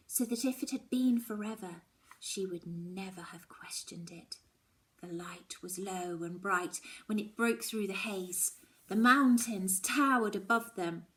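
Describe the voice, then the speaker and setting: A woman reading a children's novel aloud, expressive and dramatic, often dropping toward a hushed tone. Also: medium pitch, rough recording. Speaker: story reader.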